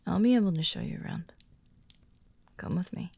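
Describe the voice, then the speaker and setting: Woman acting as shy werewolf girl in an audio roleplay, really gravelly fry, lowish voice, soft and kinda awkward. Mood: helpful, matter-of-fact. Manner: hesitant, halting, awkward when personal. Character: shy, earnest born werewolf woman guiding a newcomer. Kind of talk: roleplay audio drama, softly spoken to the listener.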